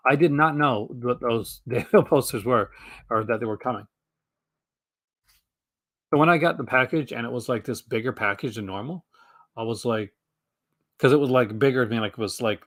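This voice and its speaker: A young man hosting a casual live stream, rambling with lots of fillers and restarts, his voice going hoarse. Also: mid-range voice, fairly bright tone. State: losing his voice. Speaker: host.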